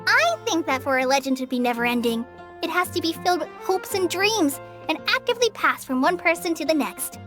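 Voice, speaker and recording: A young girl adventurer in a video game, friendly and idealistic. Her voice is high and youthful, laying things out in an encouraging way. Faint music runs behind her. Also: big pitch swings, a little fast. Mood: hopeful, encouraging. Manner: encouraging, clearly explaining.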